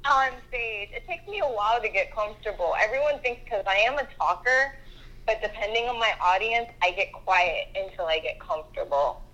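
A woman's voice: mid-range voice, moderate pace, fairly bright tone, lo-fi sound. A woman whose voice sounds childlike, a chatty, self-deprecating guest talking casually over the phone. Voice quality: sounds young, like a kid. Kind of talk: casual chat. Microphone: phone call.

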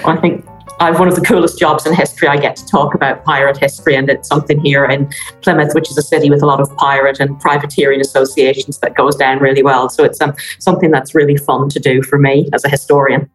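A woman with an Irish accent, a historian answering interview questions in a relaxed, explanatory way. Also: sits low, quick pace, slightly rough, faint music behind, low-quality recording. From Ireland. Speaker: historian guest.

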